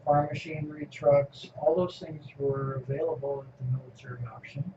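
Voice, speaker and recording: An older man from California, an interviewee reminiscing casually in a halting, rambling way and chuckling often. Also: a little high, fairly even intonation. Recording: echoey room, muffled lo-fi, noisy.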